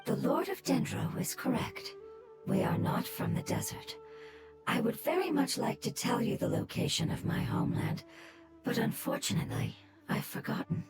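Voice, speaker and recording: Loud music plays behind a sorrowful, gentle creature from a video game. The voice sits fairly high, breathy and close to a whisper. It speaks in formal, solemn phrases, like one longing for a lost home. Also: female voice, huge pitch swings. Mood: solemn, regretful. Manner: formal, solemn, slow and deferential.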